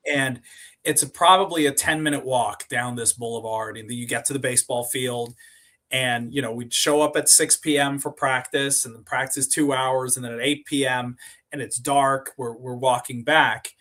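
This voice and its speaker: A man's voice: medium pitch, steady tone, normal speed, pushed and bright. Guy on a podcast, chatty and rambling, lots of uh and you know, normal mid voice. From Sweden. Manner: rambling, repeats and restarts words, many fillers. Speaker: podcast guest, company co-founder. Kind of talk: casual interview storytelling.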